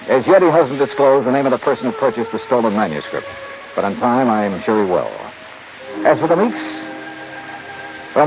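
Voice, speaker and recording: A man's voice: medium pitch, lightly acted. An American man acting a shrewd, hard-boiled investigator in a 1950s radio drama, with a clipped, confident, sardonic delivery. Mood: wry, matter-of-fact. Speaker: lead actor and narrator. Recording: muffled, noisy 1950s radio recording.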